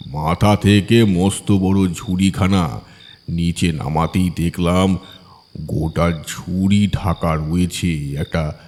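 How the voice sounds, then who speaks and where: A man reads a ghost story as an audiobook narrator. His voice is low and husky, delivered slowly, with a long reverberant tail on the recording. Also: a little mellow. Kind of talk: scripted horror story narration.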